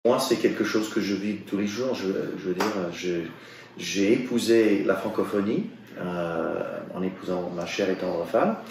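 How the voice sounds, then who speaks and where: A man from Newfoundland, a native English speaker, answering an interview in a thoughtful, halting way. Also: deep voice, a little mellow, slight background noise. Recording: very echoey, distant mic.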